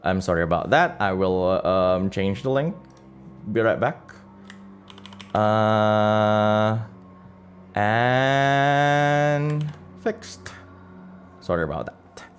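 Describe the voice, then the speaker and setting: A man narrating an improvised storytelling stream as game master, halting as he searches for words. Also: lowish voice, soft background music. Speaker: streamer and game master of a solo tabletop campaign.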